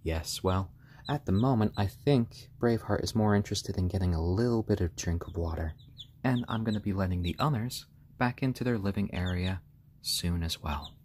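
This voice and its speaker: A man with a slightly hoarse voice, chatting casually and gently on a live stream from a room at home, in halting explanations and pet talk. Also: deep voice. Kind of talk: casual chat on a live stream, with cooing to pets. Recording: clear background noise, bird sounds.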